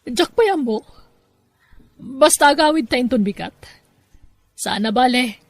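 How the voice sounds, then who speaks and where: A woman's voice: sits high, expressive, unhurried, no room sound, full character voice. A woman playing a stubborn single mother in an Ilocano drama podcast, speaking with an Ilocano accent. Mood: evasive, insistent.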